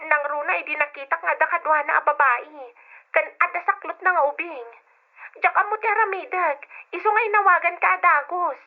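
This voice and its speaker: Very shrill Ilocano caller on phone. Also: female voice, brisk, faint music behind. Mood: worried, confiding. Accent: Filipino, Ilocano. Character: concerned younger woman, tattling, urgent telephone informant. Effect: telephone filter. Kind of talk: old-fashioned radio drama acting. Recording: thin, tinny phone line.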